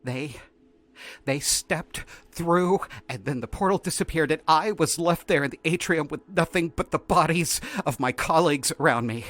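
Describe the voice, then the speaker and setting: A man playing a shaken, earnest researcher in an audio drama, giving halting, haunted, stammering testimony. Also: on the high side, fairly bright tone, natural voice. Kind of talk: dramatic first-person audio drama narration.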